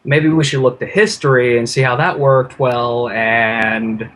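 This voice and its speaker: A man's voice: medium pitch, steady tone, unhurried, fairly bright tone. A man in casual conversation, talking in an informal, effusive and rambling way. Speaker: podcast guest and YouTuber. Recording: noisy, ambient background noise.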